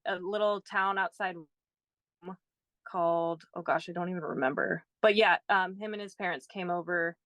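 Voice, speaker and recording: A woman's voice: fairly low pitch, fairly even intonation, moderate pace, a bit bright, no echo. A woman from California, a sailing guest, chatting casually and filling her talk with "like" and "yeah". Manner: chatty, uses "like" and "yeah" a lot. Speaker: guest, sailor. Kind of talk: casual chat.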